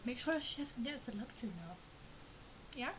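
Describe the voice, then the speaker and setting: A woman's voice: a little high, animated, moderate pace. A woman playing a game, commenting casually and reading dialogue choices aloud. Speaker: gaming streamer. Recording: loud noise and clicks behind voice.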